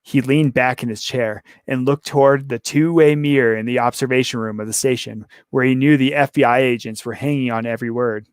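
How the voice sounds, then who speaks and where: Hoarse male podcast host, rambling casual talk, average pitch, dry close sound. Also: fairly even intonation, a little fast, few pauses, dry recording. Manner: rambling, many restarts and fillers. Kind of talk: casual interview chat and story reading.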